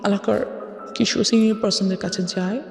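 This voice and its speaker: Female guest, Bangladeshi accent, conversational storyteller, frequent English switches, mid pitch, long reverb. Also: fairly even intonation, fairly quick, gravelly, darkish tone. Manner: switches often between Bengali and English. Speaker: guest telling life story. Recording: echoey long reverb, music bed. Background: background music.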